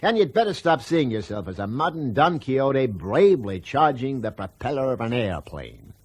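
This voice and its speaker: An actor playing a pragmatic, persuasive aviation company boss in a radio drama, condescending and forceful, with a husky voice. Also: male voice, medium pitch, sing-song, normal speed. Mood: assured, goading.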